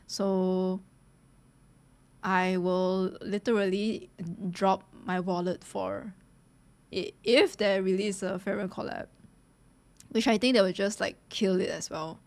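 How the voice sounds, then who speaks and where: A woman streamer reacts casually to what she watches. She gushes and talks in loose slang, addressing her viewers like friends, in a mid-pitched voice. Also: fairly even intonation, a little slow, a little mellow. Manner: gushing, slangy, addresses viewers as guys and bro. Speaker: streamer reacting to a game trailer.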